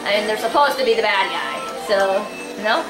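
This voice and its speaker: A woman's voice: medium pitch, animated, brisk. A young woman chatting casually while playing a kart racing game with a friend, talkative and a little self-deprecating. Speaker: let's play host. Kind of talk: casual gameplay chat. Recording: echoey room with game music.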